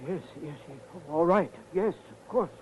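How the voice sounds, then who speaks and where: An actor playing a meek, deferential bookshop clerk who stammers, in 1940s radio style on a muffled old broadcast. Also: male voice, fairly high pitch, moderate pace, close to an everyday voice. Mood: nervous. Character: timid, deferential male bookshop clerk, easily frightened.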